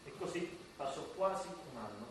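A man plays an effusive dead man in a radio drama, his fairly high voice swinging widely in pitch. He addresses the listener theatrically, in a very echoey space with music behind. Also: lively intonation, normal speed, recorded from a distance, noisy background, lightly acted. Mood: explanatory. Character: emotional dead man narrating life in the afterlife.

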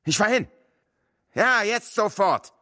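An actor playing a foul-mouthed, cynical, corrupt city cop in a radio drama, gruff and bullying. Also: male voice, high-pitched, expressive, slow, sharp tone, exaggerated voice. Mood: angry, curt.

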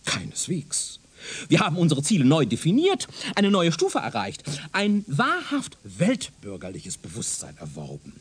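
A pompous professor for a radio play, swoops up and down, husky, super condescending. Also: male voice, a little high, wildly swooping pitch, unhurried, heavily acted. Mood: didactic, proud. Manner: pompous, didactic, declamatory, condescending. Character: pompous, self-assured male professor lecturing on his doctrine. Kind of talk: German radio drama acting.

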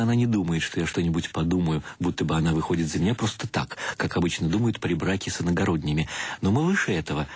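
Low, husky wry male narrator. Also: no room sound. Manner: ironic, mock-solemn, ornate phrasing. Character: wry male intellectual bridegroom, self-mocking and verbose. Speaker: actor narrating a radio drama. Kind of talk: first-person literary narration, radio play.

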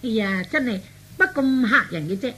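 Casting: polite young lady, high, sharp voice, anxious and easily startled, radio drama. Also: animated, moderate pace, faint music behind. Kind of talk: Cantonese radio drama acting.

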